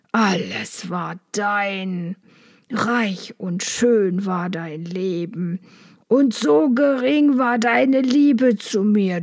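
A woman voicing a cruel, menacing knight who threatens his wife in slow, drawn-out words. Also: medium pitch.

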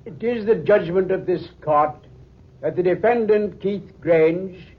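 A man's voice: sits high, unhurried. A man acting in a 1940s radio drama as a judge, solemn, formal and official. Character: solemn, official male judge reading a verdict. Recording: muffled 1940s radio recording.

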